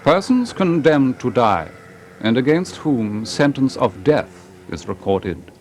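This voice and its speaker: A man's voice: medium pitch, sing-song, slow pace, darkish tone, slight background noise. A man formally reading out old prison regulations in a declamatory manner.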